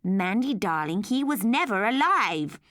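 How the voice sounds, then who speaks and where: A woman voicing an anxious, fussing mother in an audiobook story, urgent and high-strung. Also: sing-song, unhurried, fairly bright tone, no echo, clean studio sound. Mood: coaxing.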